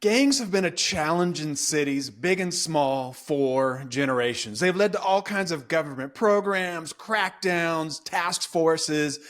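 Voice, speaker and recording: A middle-aged man from California hosting a faith podcast, chatting with asides as he interviews his guest. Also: high voice. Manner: conversational, asks questions, rambling asides. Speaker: podcast host.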